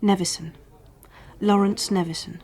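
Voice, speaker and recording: A woman's voice: mid-range voice, fairly even intonation, normal speed, a little mellow, natural voice. A woman acting in a radio drama as a guarded, candid escort with a wry, matter-of-fact way of talking. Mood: frank, matter-of-fact.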